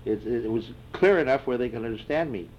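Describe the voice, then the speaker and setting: An older man in an oral history interview, answering reflectively and haltingly with many fillers and restarts. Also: medium pitch, a little mellow. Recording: dull lo-fi tape with faint hiss.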